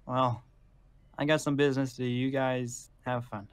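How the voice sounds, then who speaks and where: A man's voice: mid-range voice, little pitch movement, moderate pace, clear, resonant tone. A man bantering casually while improvising roleplay in an online game. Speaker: roleplayer in a Minecraft zombie series.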